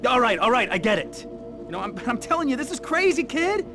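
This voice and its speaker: An actor playing an excitable, self-important resistance fighter in a video game, blustering and stammering as he talks. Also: very high-pitched, brisk, music in the background, full character voice. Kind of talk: video game cutscene acting.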